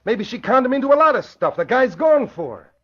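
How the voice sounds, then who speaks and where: An actor playing a tough, suspicious bodyguard with rough slangy speech in an old radio crime drama. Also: male voice, very high voice, very animated, a little fast, heavily acted. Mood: suspicious, pressing. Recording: muffled old radio recording.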